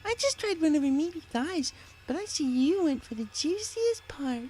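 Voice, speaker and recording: A very high, sugary female killer pony from a horror story, put on by a male narrator. The pitch swings in a sing-song, taunting way, cheerful on top and menacing underneath. There is some noise behind the voice. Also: big pitch swings, moderate pace, mellow, poor audio.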